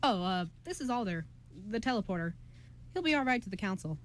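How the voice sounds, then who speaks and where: A woman playing an earnest, hesitant male time-traveling mentor in an amateur radio play, with a halting, friendly manner. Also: medium pitch, expressive, lightly acted. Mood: casual, reassuring.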